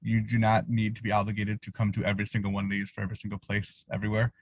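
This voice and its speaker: A man introducing himself on a video call from his parked car, relaxed and reassuring, with many fillers. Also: fairly low pitch, rapid-fire, a little hiss or hum. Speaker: associate program director.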